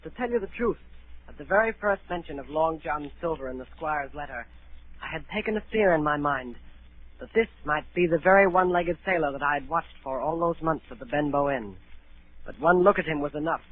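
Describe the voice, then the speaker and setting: Guy playing high voiced teen cabin boy, wistful storytelling, old 1930s radio drama sound. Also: brisk, close to an everyday voice. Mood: earnest recounting. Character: earnest adolescent cabin boy recounting his first voyage. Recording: narrow-band 1938 radio broadcast.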